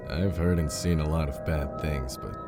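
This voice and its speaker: Man playing deep, cocky war veteran Clay. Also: moderate pace, over loud music. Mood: grim, blunt. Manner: blunt, boastful. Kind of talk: audio drama dialogue.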